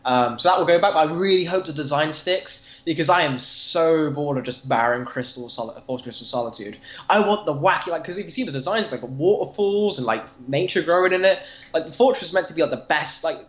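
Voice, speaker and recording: A man's voice: fairly high pitch, lively intonation, fairly quick, few pauses, a bit bright. A man co-hosting a casual discussion, talking in an earnest, rambling, enthusiastic way. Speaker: podcast co-host discussing comics. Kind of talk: casual chat. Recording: dull, echoey room sound.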